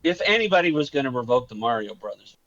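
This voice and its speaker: A man hosting a live-streamed matching game, joking and quick to laugh. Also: medium pitch, sing-song, fairly bright tone, dull audio, a little hiss or hum. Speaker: game show host.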